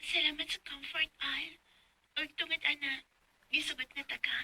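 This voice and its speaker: An actress playing a soft-spoken female sweetheart in a radio drama, with music underneath. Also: high-pitched, fairly even intonation, moderate pace, rough recording. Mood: tender. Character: soft-spoken sweetheart accepting her suitor.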